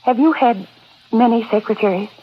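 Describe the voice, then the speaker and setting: A woman's voice: a little high, moderate pace, natural voice. An actress playing an earnest, anxious young woman in old-time radio melodrama style. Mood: accusing, urgent. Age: young adult. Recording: noisy, dull vintage radio recording.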